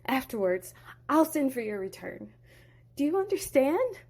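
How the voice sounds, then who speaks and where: A woman voicing a protective, anxious mother who speaks urgently in a raised pitch. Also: very animated, a little slow, a little mellow, exaggerated voice. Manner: urgent, commanding.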